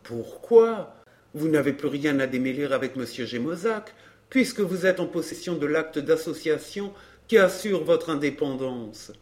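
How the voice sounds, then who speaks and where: A man playing a suave, impassioned scheming suitor, high-voiced. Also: lively intonation, measured pace. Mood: urgent pleading. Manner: formal, theatrical, impassioned. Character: Georges, suave scheming suitor, pleading then violent. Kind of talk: dramatised audiobook acting.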